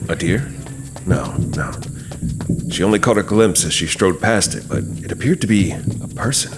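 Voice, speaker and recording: A man narrating a horror audio drama as host, in a measured, dramatic storytelling manner with pauses. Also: deep voice, sing-song, over loud music.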